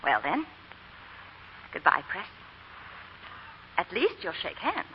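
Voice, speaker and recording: An actress playing a proud, headstrong young belle in a theatrical, impassioned 1940s radio drama style. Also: female voice, mid-range voice, huge pitch swings, takes it slowly, noisy background. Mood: wounded pride. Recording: muffled 1940 radio broadcast, music behind.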